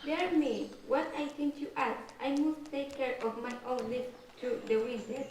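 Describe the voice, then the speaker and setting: A woman's voice: high-pitched, a little slow, a little mellow. A woman playing a haughty, selfish slim birch tree in a puppet show. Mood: dismissive. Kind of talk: puppet show stage acting. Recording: echoey distant room, clear noise.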